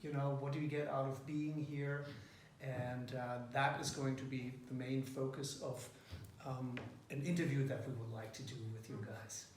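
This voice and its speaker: Brief: hesitant male interviewer, middle pitch, distant mic in a very reverberant room. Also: steady tone, normal speed, darkish tone. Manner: hesitant, many fillers and restarts. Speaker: interviewer leading a student group. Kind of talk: casual meeting introduction. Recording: echoey room, far from the mic, faint noise.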